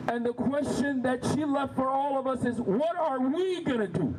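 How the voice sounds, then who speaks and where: A man giving an emphatic, repetitive speech at an outdoor rally. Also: very high-pitched. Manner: emphatic, repetitive, slow phrasing. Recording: loud outdoor crowd and street noise.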